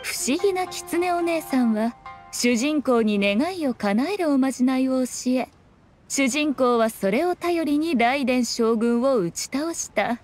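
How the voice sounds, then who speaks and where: Fox shrine maiden, high voice, lofty archaic phrasing, self-assured, teasing, game dialogue, faint music. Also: female voice, animated, darkish tone. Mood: sly, scheming. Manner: archaic, lofty, unhurried speech with teasing composure. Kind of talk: Japanese game voice acting.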